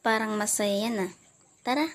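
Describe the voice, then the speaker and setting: A woman's voice: mid-range voice, fairly even intonation, moderate pace, a little hiss or hum, close to an everyday voice. A young woman acting as one of a group of friends, the suspicious one, in an amateur student radio drama. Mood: casual. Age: young adult.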